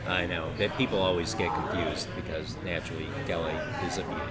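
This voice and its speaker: A man's voice: deep voice, flat delivery, fast talker, a loud crowd. Dry teasing male talk show host. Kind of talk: deadpan comic interview.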